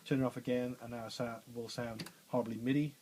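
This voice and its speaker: A man with a bassy voice walks trainees through a radio studio desk in a chatty, informal way, full of fillers and restarts. His pitch sits at an ordinary middle height. A faint hiss runs behind him. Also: little pitch movement, fast talker, dark tone. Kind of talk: hands-on technical demonstration.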